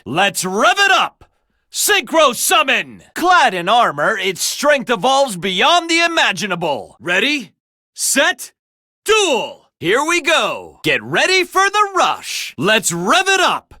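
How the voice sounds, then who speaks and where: A man's voice: extremely high pitch, sharp tone, dry recording, clean studio sound, exaggerated voice. A man voicing a resolute young duelist and gang leader in an anime game dub, earnest and emphatic in his delivery. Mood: eager, fired up. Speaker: video game character voice actor.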